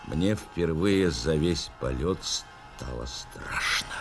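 An actor playing an anxious, dutiful bomber navigator in a radio play, with earnest, intense delivery. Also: male voice, very deep voice, expressive, slow, gravelly, over music, heavily acted. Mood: grave, subdued. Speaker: actor in an audio drama. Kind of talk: Soviet radio play acting, dramatic narration and dialogue.

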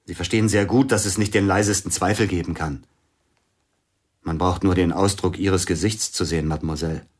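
Radio drama casting: an older grieving pianist, very deep, husky, brooding, hushed. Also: male voice, steady tone, brisk. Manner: brooding, drops to hushed near-whispers. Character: grieving older male pianist, bitter, suspicious. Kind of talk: radio play acting.

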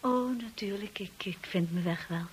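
An actress playing a shy, insecure young wife in a radio drama, with a hushed, breathy voice and a timid, hesitant way of talking. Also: female voice, medium pitch, moderate pace, lightly acted. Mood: nervous, apologetic. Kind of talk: radio drama narration and acting.

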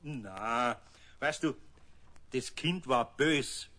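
An actor playing a gruff but good-hearted older master craftsman with a Bavarian accent in a children's radio play, grumbling and scolding yet kindly. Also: medium pitch, drawn-out words, sharp tone.

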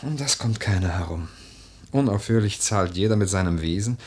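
Melancholy nobleman, lowish husky voice, serious and measured, old literary radio drama style. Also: lively intonation. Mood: grave, urgent. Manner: grave, measured, philosophical. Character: earnest, melancholy nobleman, the widow's admonishing cousin.

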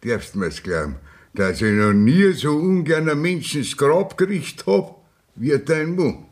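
An actor with a Bavarian accent playing a glum village gravedigger in a radio play, speaking in heavy dialect. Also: male voice, fairly high pitch, big pitch swings, unhurried. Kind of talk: 1960s radio play acting.

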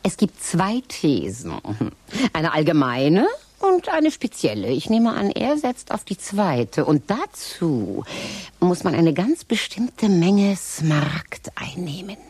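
A woman playing a shrewd, mercenary witch in a German radio play, sly and theatrical, with a husky, breathy voice. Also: fairly low pitch, big pitch swings, darkish tone, no room sound, exaggerated voice. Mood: brisk, knowing. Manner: sly, theatrical, unhurried, swooping between murmur and exclamation. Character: shrewd, mercenary witch selling spells and advice.

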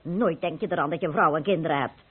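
An actress playing the worried, scolding wife of a penniless painter in a vintage radio play, husky and plaintive. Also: female voice, deep voice, fast talker. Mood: reproachful. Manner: reproachful, plaintive, nagging. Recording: muffled narrow-band old radio recording.

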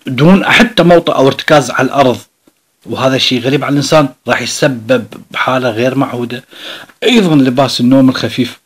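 A man with an Iraqi Arabic accent explaining a self-help book in his own words, unscripted and halting, often pausing and restarting. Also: mid-range voice, slightly rough.

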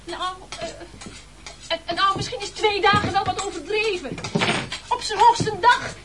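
A man's voice: very high-pitched, normal speed. A male actor playing a frantic, pleading castaway in a radio play, stammering and evasive. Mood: nervous, pleading. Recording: very noisy old radio recording.

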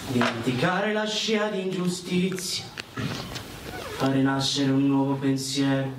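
A man giving a slow poetic recitation. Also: mid-range voice. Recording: echoey, distant, noisy pickup.

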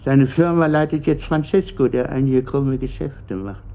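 Man acting middle-aged executive, bitter, evasive, hesitant, creaky mellow voice, radio play. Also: medium pitch, normal speed, natural voice. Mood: resigned. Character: bitter, uneasy middle-aged company director under questioning.

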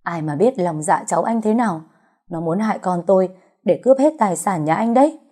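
A woman's voice: deep voice, lively intonation, normal speed, mellow. A woman voicing a spiteful, jealous pregnant aunt who sneers and picks quarrels in an audio story. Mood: indignant.

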